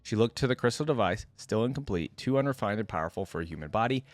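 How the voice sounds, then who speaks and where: A man's voice: on the low side, expressive, fast, dry recording. A man hosting a reaction video, reading a story aloud and commenting on it casually.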